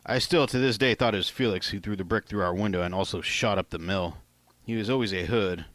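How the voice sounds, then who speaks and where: A man's voice: on the low side, a little fast, fairly bright tone, no room sound. A man reading his own first-person novel aloud in a plain, informal amateur narration style.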